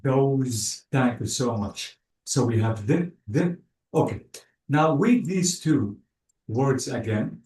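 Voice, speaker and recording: A man teaching an English class online, speaking slowly and patiently and praising the student often. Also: medium pitch, darkish tone. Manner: slow, patient, spells out word endings, praises with "bravo". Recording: echoey, distant room sound.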